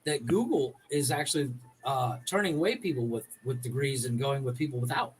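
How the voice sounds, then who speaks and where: A man co-hosting a podcast in a relaxed, slangy chat style. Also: mid-range voice, brisk, a little hiss or hum, low-quality recording. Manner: laid-back, uses slang. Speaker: podcast co-host.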